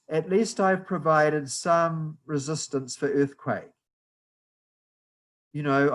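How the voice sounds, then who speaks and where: A man with a New Zealand accent giving a guest lecture on building structures, explaining slowly and patiently over a video call. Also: fairly high pitch, poor audio. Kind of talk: university lecture, slow and deliberate.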